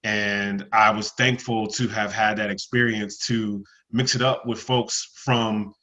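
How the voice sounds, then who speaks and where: A man's voice: lowish voice, flat delivery, slow, bright, forceful tone. A man, introducing himself as a panelist on a video call. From New Jersey, USA. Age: 40s. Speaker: panelist, longtime program alumnus. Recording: dull, lo-fi video call audio.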